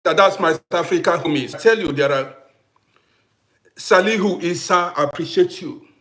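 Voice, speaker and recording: A man hosting a casual livestream who greets viewers by name, repeats himself, and swings between loud bursts and quiet asides. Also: sits high, normal speed. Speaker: livestream host.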